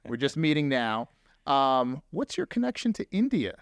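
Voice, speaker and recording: A man's voice: mid-range voice, very animated, normal speed, clear, resonant tone, no echo. A man from New Jersey hosting a podcast in casual chat, talking loosely with lots of filler words. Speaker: podcast host.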